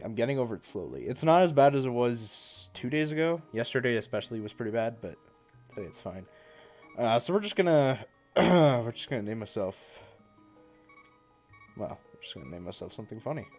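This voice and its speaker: A man's voice: medium pitch, a little mellow. A young man chatting casually on a live stream, a bit congested from a cold, rambling and correcting himself as he goes.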